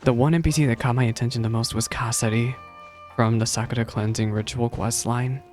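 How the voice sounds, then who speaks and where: A woman's voice: extremely low pitch, fairly even intonation, brisk. A woman streamer giving casual live reaction commentary as she plays a game. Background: soft game music.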